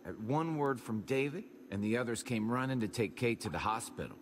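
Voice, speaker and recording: An actor playing a reasonable, probing survivor in a video game drama, level-headed and resigned. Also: male voice, medium pitch, lively intonation, fairly quick, slight background noise, lightly acted. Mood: thoughtful.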